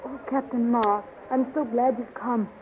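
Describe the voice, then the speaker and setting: A woman's voice: a little high, steady tone, unhurried, lightly acted. Serial heroine played by a woman, earnest and theatrical in 1930s radio manner, scratchy narrowband recording. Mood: eager, anxious.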